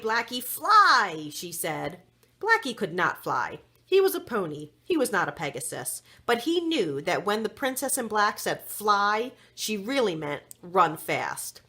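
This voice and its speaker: A woman reading a children's story aloud in an expressive, deliberate storytelling manner. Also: on the low side, breathy edge. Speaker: story reader.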